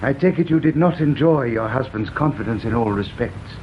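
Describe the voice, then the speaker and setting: An actor playing a precise, officious male coroner in a radio drama, speaking formally and deliberately. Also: medium pitch, animated, close to an everyday voice. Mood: formal, probing. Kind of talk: BBC radio drama acting, formal questioning and ruling. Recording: dull, slightly noisy radio recording.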